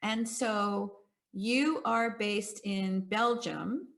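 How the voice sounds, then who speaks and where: A woman's voice: mid-range voice, extremely slow, creaky, fairly bright tone. An upbeat woman hosts an interview, greeting her guest and asking questions with easy enthusiasm. Her voice sits in a middle register and her delivery is conversational. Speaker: interview host. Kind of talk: hosting a spotlight interview.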